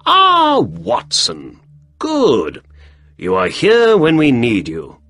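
A man voicing a clever, brisk middle-aged male detective in an audiobook story. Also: a little high, wildly swooping pitch, pushed and bright, voice pushed up, full character voice.

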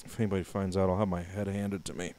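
A man's voice: sits low, flat delivery, fast. A young man co-hosting a game playthrough, reading a diary entry aloud with mock drama and joking with his partner. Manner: laughs loudly, reads the diary with mock drama. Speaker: let's play co-host.

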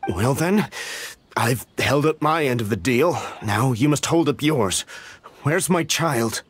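A man's voice: medium pitch, expressive, slow pace, a little hiss or hum. An actor playing an anxious, deferential middle-aged official in a video game, an earnest and easily flustered worried father. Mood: tense. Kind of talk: video game character acting.

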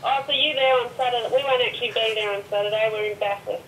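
A woman's voice: on the high side, fairly even intonation, fast, fairly bright tone, a little hiss or hum. A woman in a casual phone conversation, heard through a telephone speaker. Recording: distant phone speaker in echoey office.

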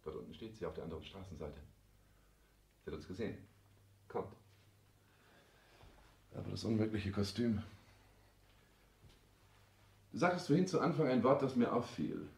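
An actor playing a cold, calculating young careerist son, snobbish, formal and imperious, in a 1960s German radio comedy. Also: male voice, fairly low pitch, animated, a little fast, pauses often, a little mellow. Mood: brisk, commanding. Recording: roomy, echoing studio sound.

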